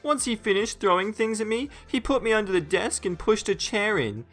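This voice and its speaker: A man's voice: high voice, animated, brisk, a bit bright, faint music behind, pitched above the performer's own voice. A man voicing a whiny, lying twelve-year-old boy who sobs and exaggerates as he tells tales in a story. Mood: sobbing, whiny. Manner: sobbing, pleading exaggeration.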